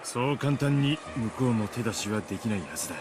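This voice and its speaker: An actor playing a tough, confident middle-aged husband in a Japanese visual novel, speaking bluntly and casually. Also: male voice, mid-range voice, lively intonation, racing, background noise, natural voice. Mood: self-assured. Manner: blunt, self-assured.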